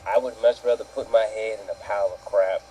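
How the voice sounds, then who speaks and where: A man's voice: fairly low pitch, steady tone, a little fast, warm tone, low-quality recording. A man in casual chat, speaking bluntly with crude slang. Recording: clear background noise.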